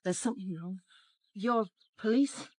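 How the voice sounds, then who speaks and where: An actress playing a guarded, knowing commune woman in a radio drama, speaking quietly. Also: medium pitch, unhurried, no echo, natural voice. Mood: uneasy.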